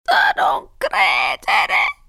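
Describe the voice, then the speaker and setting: A man voicing an aggrieved old lady ghost for a storybook, with a shrill, wailing voice and a melodramatic, drawn-out delivery. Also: sing-song, vocal fry, pushed and bright, shouting, heavily acted. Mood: tearful, aggrieved. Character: aggrieved old lady ghost carrying her severed head. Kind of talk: audiobook character voice.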